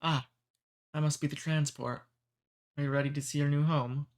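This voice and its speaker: A man voicing a friendly, centuries-old male demon in an ASMR roleplay. He talks calmly and teases gently. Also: a little high, moderate pace, lightly acted. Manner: calm, friendly, gently teasing.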